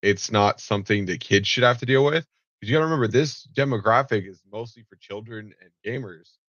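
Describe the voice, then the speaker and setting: A man's voice: lowish voice, fairly quick, fairly bright tone, dry recording. A man with a nasal, allergy-stuffed voice giving casual reaction commentary, rambling with many ums and restarts and swearing offhand. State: nasal from allergies, slight cough. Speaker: commentary vlogger. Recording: dull, lo-fi home recording.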